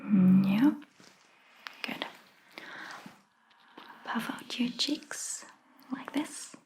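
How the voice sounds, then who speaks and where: A woman performing a soft-spoken ASMR roleplay as a caring vampire doctor, whispering breathily in a gentle, reassuring manner. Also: mid-range voice, lively intonation. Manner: gentle, reassuring, slow and deliberate.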